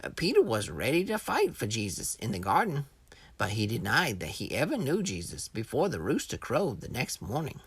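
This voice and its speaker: A woman narrating a scripted Bible lesson for children, teaching point by point with expressive storytelling. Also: extremely low pitch, normal speed, slightly rough, no echo.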